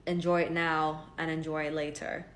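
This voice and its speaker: A woman's voice: low-pitched, little pitch movement, normal speed. A woman in her twenties from Hong Kong, telling a personal story in casual vlog style, earnest and chatty with many fillers. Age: 20s. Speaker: vlogger. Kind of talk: casual talking-to-camera story. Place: home.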